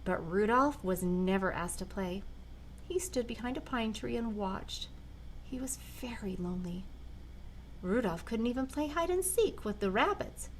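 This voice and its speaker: An older woman, a grandmother, reading a children's picture book aloud in a gentle, expressive way. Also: on the high side, a little slow, slight background noise, low-quality recording. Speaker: storyteller grandmother.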